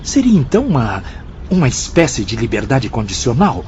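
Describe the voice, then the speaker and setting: A man plays an inquisitive, troubled pupil in a Brazilian spiritual radio play. He questions dramatically, with lively swings in a mid-low voice. The recording is dull, with faint noise. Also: normal speed, natural voice. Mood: troubled, questioning.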